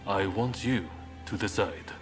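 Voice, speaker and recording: A man acts out an earnest samurai rebel leader for a video game. The voice sits fairly low and moves with formal, courteous measure. Faint music plays behind the dialogue. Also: measured pace, close to an everyday voice. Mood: solemn. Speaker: video game character actor. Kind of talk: dramatic game cutscene acting.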